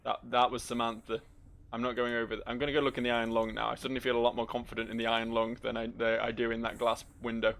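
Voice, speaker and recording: A man's voice: medium pitch, completely flat delivery, very fast, vocal fry, a bit bright. A man playing a video game with casual, jokey, sweary commentary, reading in-game entries aloud theatrically. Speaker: video game let's-player.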